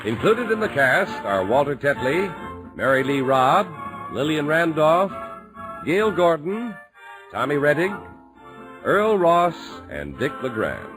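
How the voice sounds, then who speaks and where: A man's voice: fairly high pitch, very animated, takes it slowly, poor audio. A man reading closing credits as an old-time radio announcer. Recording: music and audience behind voice.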